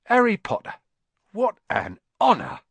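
A man's voice: extremely high pitch, big pitch swings, moderate pace, dry recording, voice pushed up. A man voicing an awestruck old barman who exclaims reverently in an audiobook. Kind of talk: audiobook character acting.

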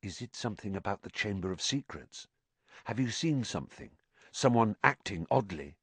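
An earnest teenage boy, voiced by a male narrator, asking his questions low and urgently. The sound is dry and close. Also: expressive, normal speed, slightly rough, no room sound. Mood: hushed.

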